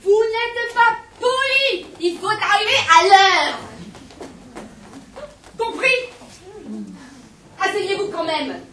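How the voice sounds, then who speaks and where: A woman's voice: extremely high pitch, animated, slow pace, pauses often, noisy background, exaggerated voice. A woman on stage playing a cheeky, scatterbrained young maid, speaking brashly and bossily in a sing-song voice. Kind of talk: amateur stage acting. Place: theatre stage. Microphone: distant room mic. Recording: echoey live stage recording from far off.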